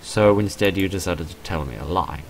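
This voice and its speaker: A man's voice: low-pitched, normal speed, slight background noise. A man voicing a blunt, questioning but forgiving young woman in a visual novel. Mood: suspicious, probing. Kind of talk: visual novel character reading.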